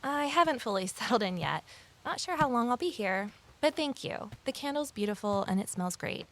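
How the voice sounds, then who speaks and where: Higher-voiced young-sounding amateur sleuth. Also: female voice, animated, normal speed, slight background noise. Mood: friendly. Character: Evelyn, earnest, scheming amateur sleuth and narrator. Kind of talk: audio drama narration and dialogue.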